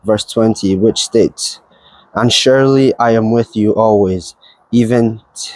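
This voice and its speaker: A man's voice: fairly low pitch, unhurried, a little mellow, no room sound, rough recording. Earnest young man. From Nigeria. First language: Yoruba. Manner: eager, earnest, laughs easily, repeats phrases. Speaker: new church digital creative, interviewee. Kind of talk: casual interview answers.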